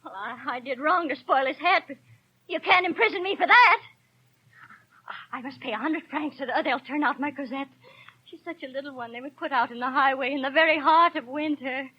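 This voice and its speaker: A woman's voice: sits high, normal speed, close to an everyday voice. An actress playing a desperate, destitute young street woman, pleading and protesting in a 1930s-style radio drama. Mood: pleading, distraught. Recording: muffled 1930s radio broadcast.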